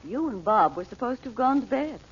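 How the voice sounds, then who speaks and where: A woman's voice: fairly high pitch, lively intonation, brisk, husky. An actress playing an earnest, apprehensive and protective mother in an old radio drama. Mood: worried. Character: anxious, protective mother, worried and cautious. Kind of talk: 1940s radio drama acting. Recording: muffled, noisy old radio recording.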